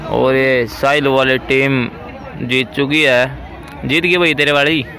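A young man vlogging on a phone at a sports ground, chatty and excited as he points things out. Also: medium pitch, measured pace. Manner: excited, chatty tour-guide narration. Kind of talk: casual vlog commentary. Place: open sports ground outdoors at dusk. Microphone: phone camera held at arm's length. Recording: outdoor crowd and street noise. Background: players shouting, traffic.

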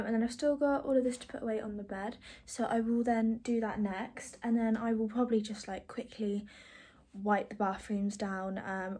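A woman in her twenties chatting casually through her evening routine at home, rambling with lots of ums and quick asides. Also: on the high side, darkish tone. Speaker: vlogger. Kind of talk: casual chat. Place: small flat, indoors, lounge, kitchen and bathroom. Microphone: phone held at arm's length.

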